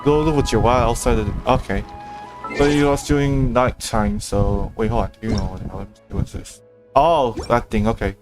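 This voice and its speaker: A male game streamer with a medium-pitched voice talks casually over his gameplay. He is informal, often muttering half to himself, with game music clearly audible behind him. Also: moderate pace. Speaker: game livestreamer.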